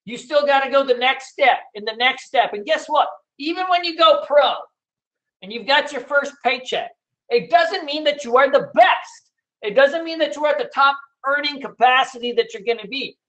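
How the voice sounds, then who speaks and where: A middle-aged man teaching day trading in a casual, loud and blunt way, hooting and swearing as he talks over a screen share. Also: very high voice, rough recording. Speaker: trading educator.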